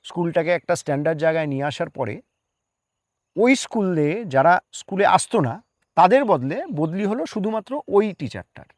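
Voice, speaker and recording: A man's voice: high-pitched, very animated, normal speed, dry recording, studio quality. A man speaking earnestly as an interview guest, explaining his opinions emphatically with rhetorical questions.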